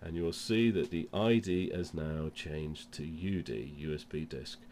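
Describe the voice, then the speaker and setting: Male demo presenter, deep register, has a cold, informal step-by-step instruction, noisy recording. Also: measured pace, a little mellow. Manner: step-by-step explaining. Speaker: presenter of product demonstration.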